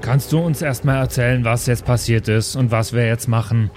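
A man's voice: medium pitch, fairly even intonation, normal speed, darkish tone. A man talking casually and improvising as a player in a live tabletop role-playing game. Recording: prominent music behind the voice.

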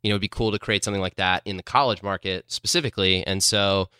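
A man's voice: sits low, fairly even intonation, fast, bright, forceful tone, smooth, no echo, clean studio sound. A man in his thirties from Illinois, a company co-founder answering interview questions in a rambling way, full of fillers. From Illinois, USA.

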